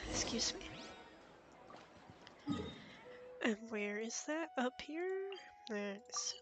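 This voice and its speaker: Slow, casual woman streamer, higher voice. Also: expressive, music in the background. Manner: muttering to self in short remarks. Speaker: game streamer. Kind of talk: casual live reactions while playing.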